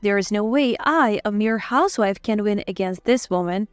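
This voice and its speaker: A woman's voice: medium pitch, expressive, moderate pace, smooth, dry recording. A woman reading a scripted, dramatized first-person story as its narrator. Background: background music.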